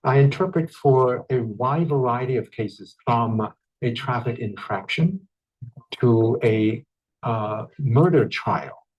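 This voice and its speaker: A man from Vietnam speaks at an average pitch. He describes his profession in a documentary interview style. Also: takes it slowly, a little mellow, slightly rough, lo-fi sound. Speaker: court interpreter.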